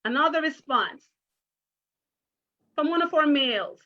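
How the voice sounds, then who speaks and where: Casting: woman, primary teacher, somewhat high voice, interactive storytime delivery, muffled online-call sound. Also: animated, measured pace. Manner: encouraging, careful teacherly address with questions. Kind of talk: interactive children's read-aloud. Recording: muffled video call audio.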